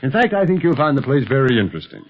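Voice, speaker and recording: A man playing a confident, steady secret agent and leader, brisk and authoritative, in a 1930s radio adventure serial. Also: mid-range voice, faint music behind. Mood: explaining. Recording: dull 1930s radio recording with faint noise.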